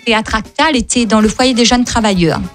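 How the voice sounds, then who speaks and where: A woman's voice: on the high side, fast, soft background music. A woman from Réunion with a Réunionese accent, Creole as her first language, chatting as a comedian guest and telling stories with lots of repetition and laughter. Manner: lively, repetitive, laughs often. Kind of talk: casual storytelling interview.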